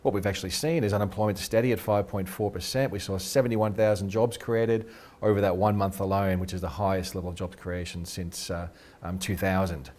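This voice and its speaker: Aussie guy rattling off answers, pretty low voice, keeps repeating words as he starts. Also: fairly even intonation, a little mellow. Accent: Australian. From Australia. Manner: quick, eager, repeats words when starting sentences. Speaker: guest, head of research. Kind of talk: casual interview talk. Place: radio studio.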